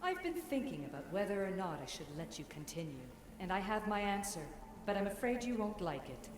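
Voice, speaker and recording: An actress playing a calm, grave ancient woman guide in a video game, speaking in a measured, solemn way. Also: mid-range voice, natural voice. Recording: roomy game audio with music.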